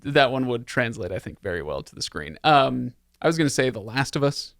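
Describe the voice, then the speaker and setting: A man's voice: medium pitch, very animated, fairly quick, gravelly, fairly bright tone, dry recording, studio quality. A man hosting a podcast in casual chat, joking and given to exaggeration. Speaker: podcast host.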